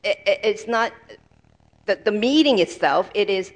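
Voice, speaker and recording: Halting female sermon interpreter, mid-pitched. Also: expressive. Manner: halting, pieces sentences together in short fragments.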